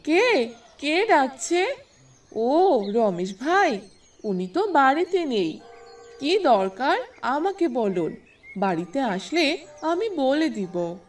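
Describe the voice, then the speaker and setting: A woman's voice: high-pitched, big pitch swings, takes it slowly, full character voice. A woman voicing an affectionate, obliging young village wife in a cartoon. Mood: helpful. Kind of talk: Bengali cartoon voice acting. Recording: slightly distant mic.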